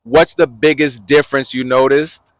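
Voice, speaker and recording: A man's voice: mid-range voice, steady tone, moderate pace, no room sound, rough recording. Man with a dry close voice, asking questions. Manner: steers the talk with direct questions. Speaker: podcast co-host. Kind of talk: casual interview chat.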